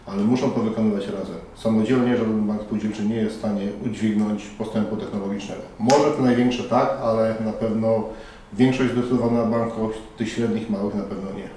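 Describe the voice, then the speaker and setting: A man's voice: lowish voice, fairly even intonation, brisk, dark tone, a little hiss or hum. A middle-aged man giving earnest, persuasive answers as a conference panel moderator, at a conference. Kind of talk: formal interview answers. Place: conference hall by a banner. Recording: echoey room from far off.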